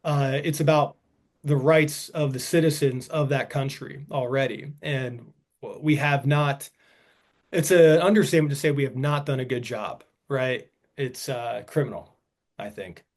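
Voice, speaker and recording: A man co-hosting a podcast, talking politics in a halting way, often restarting his sentences. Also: medium pitch. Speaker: podcast co-host. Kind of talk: casual political discussion.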